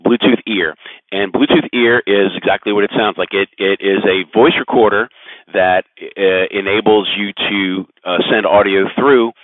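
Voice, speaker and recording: A man giving a casual explainer to camera in a halting way, repeating words as he goes, recorded through a Bluetooth aviation headset. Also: fairly low pitch, steady tone, dry recording. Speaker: aviation vlogger. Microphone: aviation headset over Bluetooth to phone. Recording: muffled phone-like Bluetooth headset audio.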